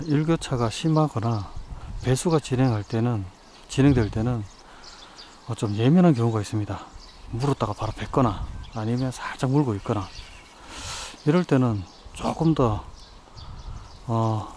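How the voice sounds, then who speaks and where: A man hosting a fishing vlog, calmly explaining his lure choices and tactics outdoors by a rural pond. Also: medium pitch. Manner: calm, explanatory, practical tips. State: hot from the weather. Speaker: fishing channel host. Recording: outdoor noise, nature sounds. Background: birds and countryside ambience.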